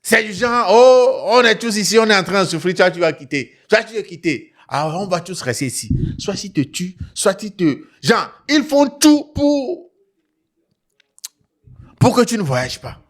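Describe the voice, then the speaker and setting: A high-pitched male voice with a West African French accent. He hosts an online show as an animated storyteller, talking straight to viewers. He hammers his points by repeating phrases and tossing in little questioning tags. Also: unhurried. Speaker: host of an online talk show.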